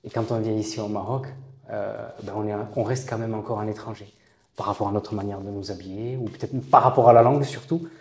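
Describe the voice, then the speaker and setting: A man in his late thirties from southern France, a guest telling his own story in a thoughtful, halting way with many pauses and restarts. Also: fairly low pitch, steady tone, darkish tone, echoey room. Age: late 30s. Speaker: interview guest. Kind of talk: reflective personal storytelling.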